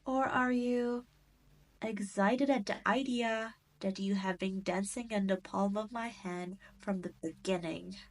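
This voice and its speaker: A woman's voice: medium pitch, fairly even intonation, measured pace, lightly acted. A woman playing a cruel, gloating demon queen in a scripted audio roleplay, condescending and taunting. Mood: taunting, possessive.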